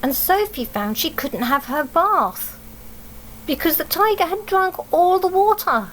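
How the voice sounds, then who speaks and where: A woman's voice: very high-pitched, expressive, measured pace, fairly bright tone, a little hiss or hum, poor audio. A woman with an English accent reading a storybook aloud as a teacher, expressively, with explaining asides and questions for young children.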